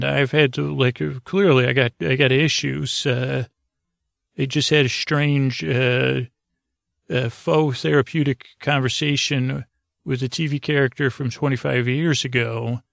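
A man with a creaky, gravelly voice hosting a sleep podcast, delivering a hesitant, meandering bedtime monologue that trails off and circles back. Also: medium pitch, fairly even intonation, measured pace, fairly bright tone, no room sound, studio quality. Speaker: podcast host.

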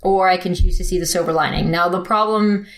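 A woman's voice: fairly low pitch, brisk. A young woman telling a personal story in casual conversation, with lots of "like" fillers and frequent laughter. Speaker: interviewee. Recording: echoey room.

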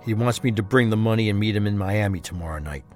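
A man's voice: on the low side, quick pace, faint music behind, natural voice. A man playing a guarded, determined husband in a crime audio drama, speaking with intensity. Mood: grim, subdued. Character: capable, determined husband of a kidnapped wife.

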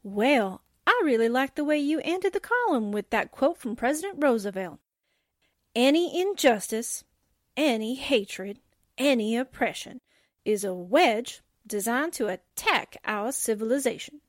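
Actress as a high, perky newspaper gal. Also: female voice, lively intonation, unhurried, no echo, studio quality. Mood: earnest. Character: perky, admiring young newspaperwoman sidekick. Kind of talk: 1940s radio drama acting.

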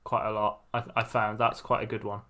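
A man's voice: fairly low pitch, level tone, brisk, pushed and bright. A man with a Welsh accent co-hosting a podcast, dry and understated. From Wales. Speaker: podcast co-host. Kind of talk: casual chat.

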